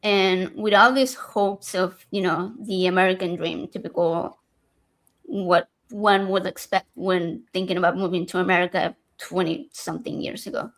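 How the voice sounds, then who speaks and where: A woman's voice: on the low side, fairly even intonation, normal speed. A woman in her thirties, originally from Argentina, telling a personal story candidly on a casual podcast, with many fillers and restarts.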